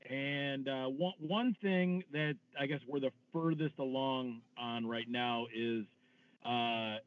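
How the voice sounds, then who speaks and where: A man's voice: mid-range voice, steady tone, takes it slowly, dry recording. A man with a Detroit accent, a filmmaker speaking hesitantly in a casual interview. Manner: hesitant, lots of fillers. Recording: tinny phone-like line.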